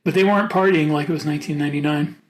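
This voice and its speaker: High-pitched man in casual conversation. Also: racing, poor audio. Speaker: podcast co-host. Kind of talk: casual panel chat.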